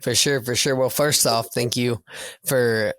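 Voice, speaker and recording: Raspy-voiced man, earnest and reflective. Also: mid-range voice, fairly even intonation, measured pace, a bit bright, no room sound. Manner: earnest, reflective, repeats words as he thinks. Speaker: coach and podcast guest. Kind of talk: casual podcast interview. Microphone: video call.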